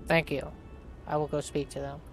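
A man's voice: a little high, flat delivery, moderate pace, music in the background. A man hosting a let's play, reading his character's dialogue aloud and narrating as he plays.

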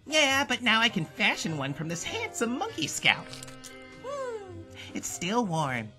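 A man's voice: extremely high pitch, wildly swooping pitch, measured pace, heavily acted. A man voicing a small, gleefully violent, childlike rabbit in a comic dub, with music behind.

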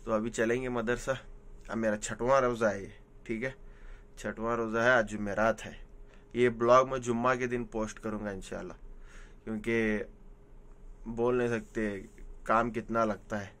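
A man's voice: mid-range voice, flat delivery, moderate pace, long pauses, fairly bright tone. A man from India, a house driver, chatting casually to viewers as he vlogs his day while driving around the city. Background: faint traffic and street noise.

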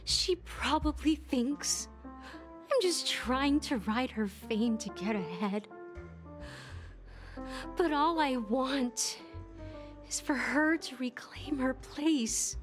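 Young female game performer, yearning, theatrical, slow-spoken, wistful, faint music underneath. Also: high voice, lively intonation. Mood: earnest. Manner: theatrical, drawn-out, yearning delivery. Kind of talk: video game character acting. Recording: game dialogue over background music.

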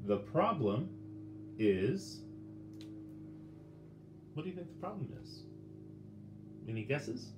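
A middle-aged man reading a children's picture book aloud with dramatic pauses, turning to the listeners with questions. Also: mid-range voice, sing-song, over music. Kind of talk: expressive children's storybook read-aloud. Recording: roomy indoor sound with faint background noise.

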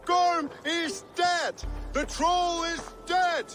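A man's voice: very high voice, fairly even intonation, very slow, fairly bright tone, soft background music, exaggerated voice. A man playing a jubilant, boastful Norse raider in a game, theatrical and grandiose in his delivery. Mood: triumphant. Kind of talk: dramatic game cutscene acting.

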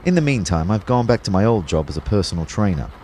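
Low-voiced wry young narrator played by a man. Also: sing-song, quick pace, darkish tone, a little hiss or hum. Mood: resigned, wry. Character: wry young personal trainer narrating his life. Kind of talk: first-person audio drama narration.

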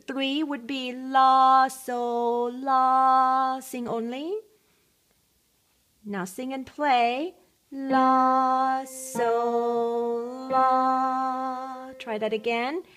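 A woman music teacher with a high voice talks through a keyboard lesson at a very slow, patient pace. She sings solfege syllables and song phrases between her spoken instructions, with a keyboard clearly playing behind her. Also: a bit bright.

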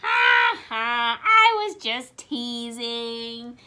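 A woman's voice: high-pitched, sing-song, measured pace, bright, forceful tone, slight background noise, full character voice. A woman voicing a cheerful, teasing elephant leader in a storybook, with emphatic, stretched-out delivery. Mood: playful.

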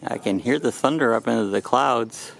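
An older man chatting casually while he films outdoors at a flying field, relaxed and rambling with lots of "uh" pauses, picked up by the camera mic. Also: mid-range voice, creaky, poor audio. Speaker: vlogger filming at a model airfield. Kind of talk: casual narration while filming.

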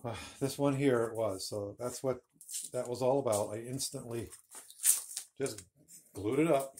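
A man's voice: mid-range voice, unhurried, darkish tone, slight background noise. A man in his fifties hosting a woodworking channel, chatting in a rambling, self-correcting way while showing his work in a home woodshop, talking to a phone camera held at arm's length. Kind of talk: casual workshop demonstration. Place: home woodshop with a low ceiling.

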